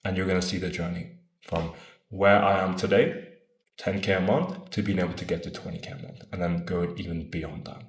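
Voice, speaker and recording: Man in his twenties with a deep voice, earnest and rambling, talking to camera in a roomy space. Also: steady tone, fairly quick. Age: 20s. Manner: earnest, rambling, often adds "right?"; casual swearing. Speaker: vlogger. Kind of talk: casual talk to camera. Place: room in Bogota.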